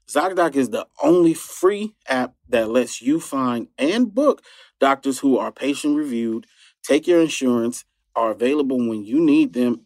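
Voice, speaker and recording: A man reading a scripted advertisement with smooth, even delivery. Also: medium pitch, dry recording. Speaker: ad narrator. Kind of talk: scripted ad read.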